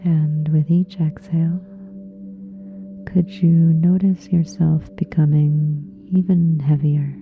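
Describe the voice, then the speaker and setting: A woman leading a guided relaxation meditation in a soft, breathy, hushed and soothing way, leaving long pauses. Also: deep voice, level tone, phone-quality sound. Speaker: yoga nidra meditation guide. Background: soft meditation music with singing bowls.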